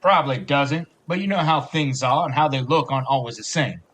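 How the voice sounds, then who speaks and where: A man's voice: mid-range voice, brisk, a bit bright, natural voice. An actor playing a blunt but caring, fatherly police sergeant in an audio drama, folksy in his talk. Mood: insistent, concerned. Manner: folksy, blunt, calls younger men kid and bub.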